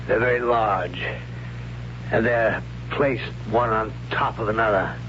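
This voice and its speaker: An actor playing an awed, reluctant man of a primitive future, speaking haltingly and solemnly in 1970s radio drama style. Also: fairly low pitch, steady tone. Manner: halting, solemn, hushed dread. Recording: dull, noisy 1970s radio recording.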